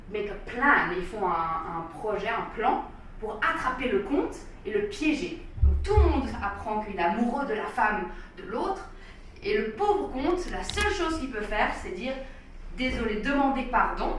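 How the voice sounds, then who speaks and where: A woman telling children an opera story in an animated way, switching between two languages in a workshop room. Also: mid-range voice, slight background noise. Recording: echoey room from far off.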